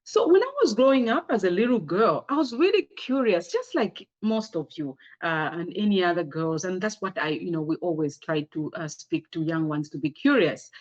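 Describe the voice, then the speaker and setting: A woman's voice: on the low side, lively intonation, moderate pace, few pauses, a little mellow, highs cut off. A woman with a Zambian accent giving an informal slide presentation, conversational and often putting rhetorical questions to her listeners. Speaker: speaker sharing her career story with girls.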